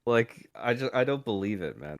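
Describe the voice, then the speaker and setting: A man's voice: medium pitch, very animated, normal speed, no echo. A man in casual podcast chat about games and anime, blunt and dismissive of poor adaptations. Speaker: podcast co-host.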